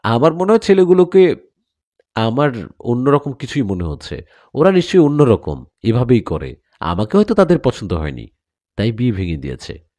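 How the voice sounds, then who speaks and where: Earnest young daughter in an audio tale, voiced by a male narrator: pleading, animated, soft mellow tone. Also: medium pitch, moderate pace, pauses often, no echo, natural voice. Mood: reassuring. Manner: pleading, protesting. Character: earnest young village daughter in love with a jinn.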